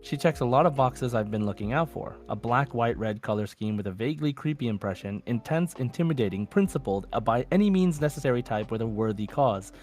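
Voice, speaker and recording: A man with a mid-pitched voice co-hosts a casual podcast. He chats informally and reads listener messages aloud, with music faintly audible behind him. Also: animated, brisk, hardly any pauses, darkish tone. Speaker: podcast co-host.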